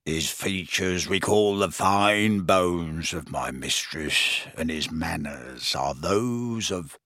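A man voicing a croaking, resentful old male house elf who speaks slowly and grudgingly. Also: pushed and bright, dry recording, studio quality, full character voice. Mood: sullen. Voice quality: croaky, low. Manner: slow, grudging, sullen.

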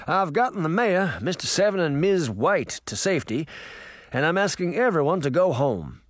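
A man's voice: a little high, big pitch swings, moderate pace, no room sound, clean studio sound, pitched above the performer's own voice. A man voicing a harried, baffled sheriff in a storybook, polite and concerned. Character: harried, baffled small-town lawman, polite, grown man. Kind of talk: audiobook character voice.

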